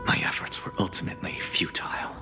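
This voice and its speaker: A man's voice: fast talker, in a whisper, natural voice. A man voicing a proud, sardonic scholar and defiant alchemist in a video game, with a dry, theatrical, biting delivery. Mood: grave, reflective. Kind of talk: dramatic video game voice acting. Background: music.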